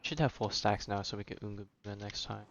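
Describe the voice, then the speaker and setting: A man's voice: fairly low pitch, quick pace, creaky, a bit bright, dull audio, slight background noise. A man giving casual live gameplay commentary as a streamer, slangy and rambling as he thinks aloud. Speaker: game streamer.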